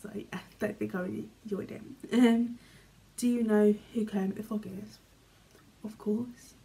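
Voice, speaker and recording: A young British woman vlogging from her bedroom, reading questions aloud and answering in a chatty, rambling way, often trailing off quietly. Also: mid-range voice, fairly even intonation, unhurried, creaky. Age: young adult. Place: small bedroom, indoors. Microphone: camera in front, medium framing.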